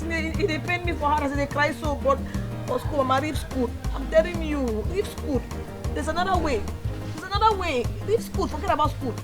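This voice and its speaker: A woman's voice: high voice, natural voice. A woman with a Nigerian accent playing a hot-headed, defiant, street-tough daughter in a film drama. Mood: defiant, subdued. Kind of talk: film drama dialogue in Pidgin English. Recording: music behind the voice.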